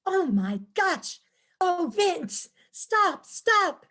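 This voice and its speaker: A woman's voice: very high-pitched, big pitch swings, drawn-out words, bright, forceful tone, exaggerated voice. A woman playing a naive, eager, cheerfully enthusiastic tourist wife in an amateur staged play reading. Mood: excited. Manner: eager, wide-eyed, chirpy.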